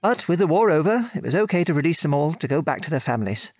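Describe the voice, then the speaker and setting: Higher-voiced British ex-airman father, reminiscing. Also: sing-song, darkish tone, breathy edge, no echo. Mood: wry reminiscing. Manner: articulate, measured storytelling with old-fashioned British turns of phrase. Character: former RAF bomber airman, reminiscing father, frank and aggrieved. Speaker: actor in an audio drama. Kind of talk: conversational audio drama reminiscence.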